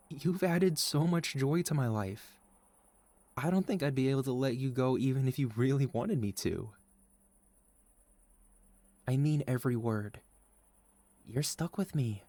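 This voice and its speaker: Slow, soft-spoken, tender boyfriend played by a man. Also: mid-range voice, no echo, lightly acted. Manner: soft, tender, reassuring, playful. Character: sweet, caring, gently teasing boyfriend comforting an anxious partner. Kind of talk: ASMR boyfriend roleplay, intimate scripted monologue.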